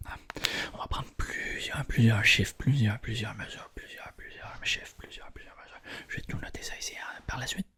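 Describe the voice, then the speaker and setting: A man's voice: on the low side, sharp tone. Whispering Quebec French man, ASMR roleplay. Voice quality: breathy whisper. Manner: repeats words and phrases slowly and soothingly. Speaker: ASMR creator playing an attentive tailor.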